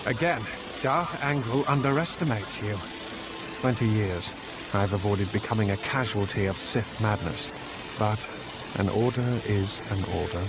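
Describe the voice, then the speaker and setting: An actor playing a courteous, pragmatic veteran intelligence agent of an empire in a video game, speaking formally and with measure. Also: male voice, fairly low pitch, unhurried, over loud music, poor audio. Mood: resigned. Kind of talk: video game cutscene acting.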